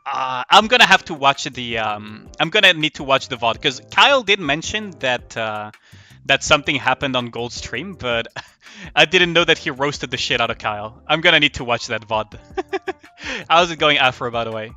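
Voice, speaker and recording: Bright-voiced man streaming casually over music. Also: mid-range voice, animated, moderate pace. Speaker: live streamer. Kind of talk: casual livestream chat over a game. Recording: music behind the voice.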